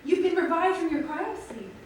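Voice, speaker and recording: High, chirpy actress as colony doctor, echoey stage. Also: female voice, lively intonation, normal speed, darkish tone. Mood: soothing, upbeat. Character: reassuring, chirpy woman doctor of a Martian colony. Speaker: musical theatre actress. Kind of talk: staged reading, theatrical dialogue. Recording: echoey theatre, distant mic, faint noise.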